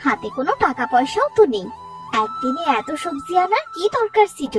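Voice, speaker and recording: Casting: woman as nagging cartoon village mum, very high swooping voice, anxious, set back over music. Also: sing-song, brisk, far from the mic. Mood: anxious, scolding. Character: anxious, scolding poor village mother. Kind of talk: cartoon voice acting.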